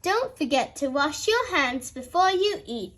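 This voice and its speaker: A woman voicing a caring female fox nurse in a children's storybook, gentle and reassuring. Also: sits high, big pitch swings, a little slow, heavily acted.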